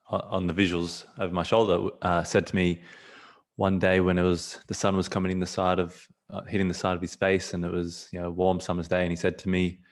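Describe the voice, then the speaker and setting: Very low-voiced soft-spoken man. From South Africa. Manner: halting, soft-spoken, many restarts. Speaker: guest speaker.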